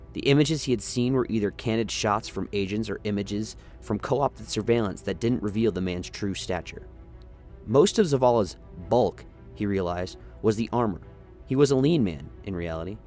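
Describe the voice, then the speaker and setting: A man's voice: on the low side, expressive, moderate pace, darkish tone, faint music behind. A man narrating a science-fiction radio play in a dramatic, scripted storytelling style. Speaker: narrator, lore reader.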